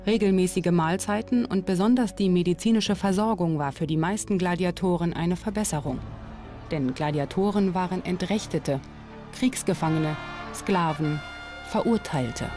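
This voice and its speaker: A documentary narrator reading a scripted commentary in a measured, explanatory way. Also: mid-range voice. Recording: music bed under narration. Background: music.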